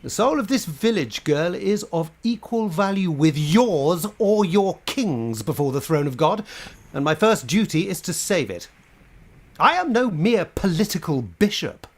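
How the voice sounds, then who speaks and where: A man acting a proud medieval French bishop in a radio play. He speaks with righteous force and indignation, his rhetorical delivery swinging widely in pitch. The zeal is formal and theatrical. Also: very animated, unhurried, exaggerated voice. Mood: earnest, firm. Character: proud, zealous French bishop of the Middle Ages. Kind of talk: stage-style radio drama acting.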